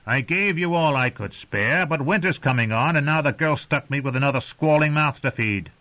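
A man voicing a rough, menacing male wildling with coarse, rustic speech. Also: fairly high pitch, normal speed, pitched above the performer's own voice, lightly acted. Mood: surly. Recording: muffled lo-fi recording.

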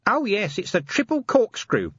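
A man's voice: sits high, huge pitch swings, moderate pace, breathy edge, dry recording, lo-fi sound, voice pushed up, exaggerated voice. A man voicing a cheeky, seedy, eager male corporal of the city watch in an audiobook, chatty and matter-of-fact. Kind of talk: audiobook character acting.

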